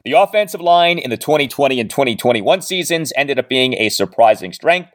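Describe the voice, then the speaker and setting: A male sports podcast host with a very lively, swinging delivery. He speaks emphatically and deliberately in a mid-range voice, recorded close and dry with no room sound. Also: mid-range voice, no echo. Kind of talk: sports commentary monologue with sponsor read.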